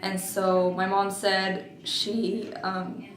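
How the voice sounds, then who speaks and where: A woman in her twenties talks slowly and deliberately, pausing often as she gathers her thoughts. She is recorded in an echoey room. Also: medium pitch, flat delivery, a crowd in the background. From Mexico, raised in Oregon. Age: 20s. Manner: slow and thoughtful, with frequent pauses and fillers. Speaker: interviewee. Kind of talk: oral history interview.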